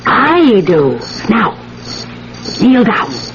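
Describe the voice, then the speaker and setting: An actress playing a fervent, commanding village sorceress with an imperious, incantatory delivery in a 1970s radio drama. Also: female voice, mid-range voice, very animated, drawn-out words, noisy background. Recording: muffled old radio broadcast with music.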